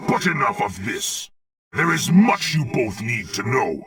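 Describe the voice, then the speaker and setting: Valiant machine-bound alien warrior: husky, forceful, theatrical, formal, robot-processed, slightly distant game voice. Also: male voice, mid-range voice, sing-song, measured pace, exaggerated voice. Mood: defiant, urgent. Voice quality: raspy, gravelly. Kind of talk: dramatic video game voice acting.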